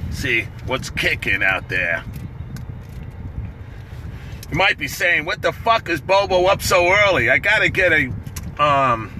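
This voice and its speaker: A middle-aged man with a raspy voice, chatting casually and profanely on a livestream from a parked car, phone held at arm's length. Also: fairly high pitch, big pitch swings, a little slow, long pauses, pushed and bright, a little hiss or hum. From New York. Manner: loose, profane, chatty, laughing often. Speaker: livestream host.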